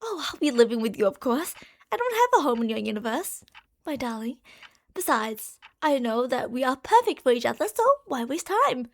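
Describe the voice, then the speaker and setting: Girl voice actor doing a yandere stalker gf scientist, really high voice, clingy and gushy, hushed then excited, asmr rp. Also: moderate pace, exaggerated voice. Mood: adoring, eager. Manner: doting, gushing, swinging between hushed and excited. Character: obsessive, delusional yandere girlfriend, a genius scientist from another universe. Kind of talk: ASMR roleplay monologue addressed to the listener.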